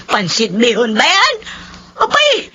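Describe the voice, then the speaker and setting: A woman's voice: on the high side, huge pitch swings, slow pace, bright, forceful tone, breathy edge, heavily acted. A woman playing a crafty, querulous old Filipino grandmother in a radio drama, with a Filipino accent. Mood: suspicious. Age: older. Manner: querulous, exclaiming. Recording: radio drama with faint noise.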